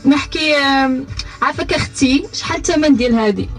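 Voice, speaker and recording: Female guest, Moroccan accent, high-pitched, casually teaching dialect over faint music. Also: brisk. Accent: Moroccan Arabic. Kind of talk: casual explanatory radio chat.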